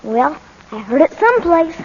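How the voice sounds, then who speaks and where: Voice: sits high, very animated, moderate pace. A performer playing a streetwise, plain-spoken young boy with slangy speech in a 1940s radio drama. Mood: bewildered. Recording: muffled, noisy old 1940s radio recording.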